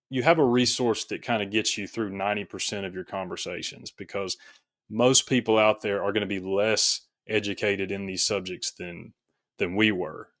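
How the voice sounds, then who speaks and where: Low-voiced man, thoughtful interview guest. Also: a bit bright, no room sound, clean studio sound. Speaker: podcast guest, author. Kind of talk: reflective personal storytelling in an interview.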